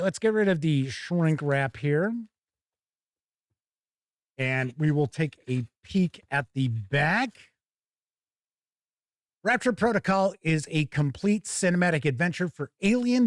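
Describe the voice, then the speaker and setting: A man's voice: a little high, no echo, studio quality. A middle-aged man hosting a tabletop gaming livestream, chatting casually in a rambling, informal way. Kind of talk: casual livestream chat and product first look.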